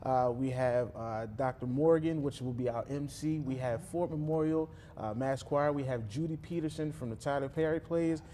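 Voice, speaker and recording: Male guest, 30s, mid pitch, warm tone, plain delivery, lapel mic. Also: normal speed, few pauses, slight background noise. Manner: plain, listing names with fillers. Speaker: event organizer guest. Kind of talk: studio interview. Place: small TV studio set.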